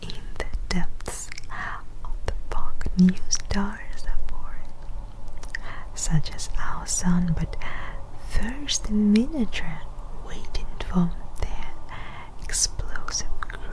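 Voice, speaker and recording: A woman performing ASMR narration in a hushed, breathy whisper, pausing between short phrases. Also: mid-range voice, animated, rough recording.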